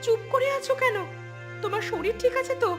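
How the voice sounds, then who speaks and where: A woman playing an excitable young city-educated daughter in a cartoon. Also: extremely high pitch, fairly quick, over music. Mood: alarmed, urgent. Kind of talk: Bengali cartoon voice acting.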